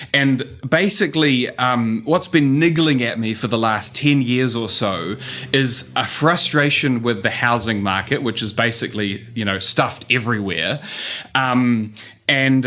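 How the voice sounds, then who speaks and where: A man's voice: mid-range voice, a bit bright. A man with a New Zealand accent, a guest urban economist, giving thoughtful answers full of ums and uhs. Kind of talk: casual interview answers.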